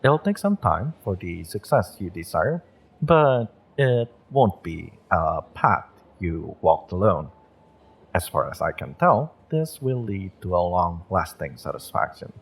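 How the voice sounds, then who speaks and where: Man playing a low, unhurried, gentle witch fortune teller. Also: very animated, creaky, breathy edge, exaggerated voice. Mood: reassuring, hopeful. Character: kind, wistful male witch tarot reader and shopkeeper. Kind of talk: roleplay audio addressed to the listener.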